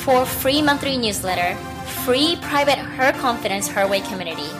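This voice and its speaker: Husky-voiced woman hosting a podcast. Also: a little high, animated, normal speed, music in the background. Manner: chatty and encouraging, with frequent small laughs. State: husky from a lingering cough. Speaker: podcast host. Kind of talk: casual solo podcast wrap-up.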